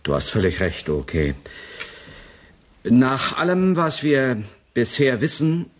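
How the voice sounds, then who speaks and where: An actor playing a sharp-witted, easily indignant male scientist in a radio drama, speaking in a pointed, articulate way. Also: mid-range voice, huge pitch swings, slow, full character voice. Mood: grave, analytical. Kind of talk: German science fiction radio drama acting.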